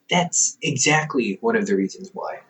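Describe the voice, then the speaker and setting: A man's voice: mid-range voice, lively intonation, moderate pace, fairly bright tone, natural voice. A man voicing a playful young adult older brother who works as a company therapist, teasing the listener and laughing often in an audio roleplay.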